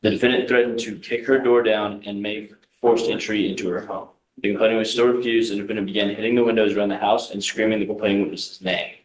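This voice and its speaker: In a reverberant courtroom, an American man with a fairly low voice speaks as a prosecutor. He delivers a formal read-out of case facts. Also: steady tone, quick pace. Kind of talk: formal reading of a case summary. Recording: echoey courtroom.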